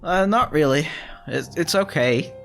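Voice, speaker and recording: A man's voice: on the high side, animated, unhurried, vocal fry, a bit bright, faint music behind, exaggerated voice. A man voicing a modest young farm lad in a visual novel, speaking politely and hesitantly. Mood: hesitant, subdued. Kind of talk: visual novel character voice reading.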